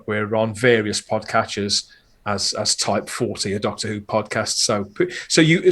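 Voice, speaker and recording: A man's voice: mid-range voice, a bit bright. Chatty, enthusiastic man. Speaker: podcast host and producer, guest. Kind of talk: conversational interview talk.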